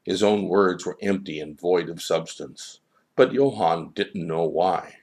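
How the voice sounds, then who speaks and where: A man with a low voice narrates a scripted story. He reads it aloud as a storyteller would. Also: unhurried, a little mellow, slightly rough.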